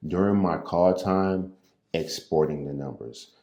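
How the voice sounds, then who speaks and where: Deep-voiced, low-key Midwestern man. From Midwest US, St. Louis. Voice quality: naturally low, somewhat monotone voice. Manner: unhurried, loose and conversational, with repeated words and fillers. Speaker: real estate agent and coach. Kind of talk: impromptu coaching talk to camera. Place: his call workspace, standing at a desk, roomy sound. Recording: echoey room.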